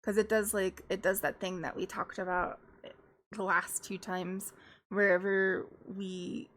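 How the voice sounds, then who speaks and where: A woman live-streaming her reactions to music, talking at an unhurried, drawn-out pace. Her voice has a gravelly, fry-edged texture as she rambles through her thoughts, peppered with fillers and quick laughs. The talk is loose and conversational. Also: mid-range voice. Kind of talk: casual live-stream commentary.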